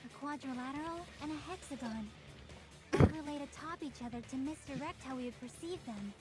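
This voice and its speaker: A woman's voice: high voice, a little fast, close to an everyday voice, poor audio. A female actor playing a poised young woman in a video game, explaining things in formal, articulate speech. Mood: serious. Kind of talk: video game dub acting. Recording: game music under the voice.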